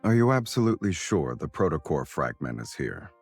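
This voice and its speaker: An actor playing a smooth, teasing, worldly collector of weapons and antiques in a video game, with a drawling, unhurried delivery. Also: male voice, a bass voice, sing-song, faint music behind, exaggerated voice. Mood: amused, teasing. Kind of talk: acted video game dialogue.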